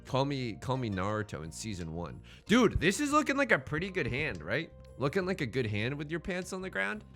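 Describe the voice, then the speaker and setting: A man's voice: mid-range voice, huge pitch swings, brisk, fairly bright tone, soft background music. A man hosting a gaming series with casual, jokey commentary, often stammering and repeating words as he rambles. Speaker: host of a gaming Let's Play series. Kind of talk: casual game commentary with joking banter.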